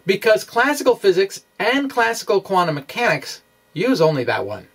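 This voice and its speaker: A man giving an informal science explanation over animations, speaking haltingly and correcting himself as he goes. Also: sits high, wildly swooping pitch, a bit bright, breathy edge. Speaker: science video blogger.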